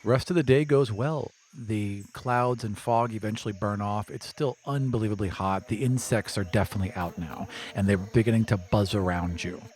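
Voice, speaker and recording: A man's voice: lowish voice, moderate pace, hardly any pauses, darkish tone. A man narrating a tabletop game as game master, moving between scene description and casual table talk. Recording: faint background noise.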